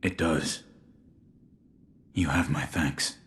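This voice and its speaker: An actor playing a stoic shinobi warrior in a video game, speaking in a terse, deadpan manner. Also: male voice, extremely low pitch, very slow, exaggerated voice. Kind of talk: dramatic video game dialogue.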